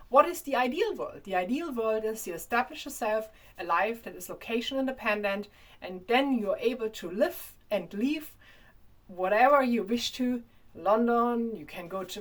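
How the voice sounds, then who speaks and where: A woman with a strong German accent talks straight to her viewers in a casual vlog. Her voice sits a little high, and she chats freely, often stumbling, repeating words and restarting her sentences. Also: fairly bright tone. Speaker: vlogger. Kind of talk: casual talking-to-camera vlog.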